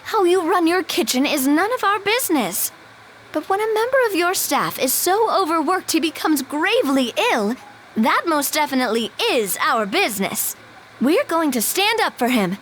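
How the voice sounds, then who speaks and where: A woman's voice: sits high, big pitch swings, moderate pace, a bit bright, a little hiss or hum. An actress voicing an earnest young woman in a game who mediates firmly but politely for an overworked cook.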